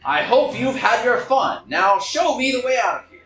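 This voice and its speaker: A man's voice: very high-pitched, big pitch swings, moderate pace, fairly bright tone, voice pushed up, heavily acted. A man playing a haughty older monopolist in a table reading, condescending, dismissive and easily outraged. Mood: indignant. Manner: pompous, dismissive, exclaiming. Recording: echoey room with music behind.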